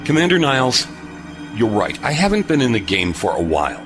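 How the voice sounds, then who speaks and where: An actor playing a retired starship captain in an audio drama, wry, understated and measured. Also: male voice, medium pitch, big pitch swings, over music, close to an everyday voice. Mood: diplomatic.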